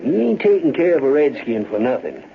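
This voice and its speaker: An actor playing a gossipy, shrewd frontier saloon fellow with a rustic American drawl, wry and talkative, in old radio-western style. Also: male voice, medium pitch, very animated, fairly quick, gravelly, a crowd in the background. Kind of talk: 1930s-40s radio western acting. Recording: muffled, hissy old-time radio recording.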